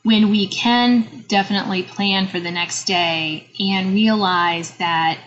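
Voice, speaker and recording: A middle-aged woman hosting a podcast interview, chatty and animated, often laughing as she talks. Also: medium pitch, slow pace. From Northern Virginia. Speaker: podcast host. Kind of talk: casual interview chat. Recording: slightly dull lo-fi audio with faint noise.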